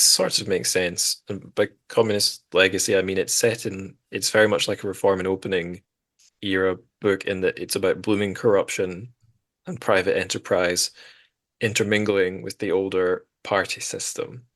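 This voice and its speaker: Low-voiced man hosting a book podcast. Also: a bit bright. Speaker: podcast host. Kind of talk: casual literary discussion.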